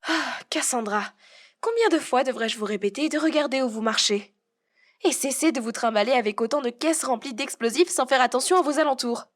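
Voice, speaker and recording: A woman playing a strict, dutiful head housekeeper in a French audio roleplay, speaking in a prim, formal, measured way. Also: high-pitched. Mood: scolding.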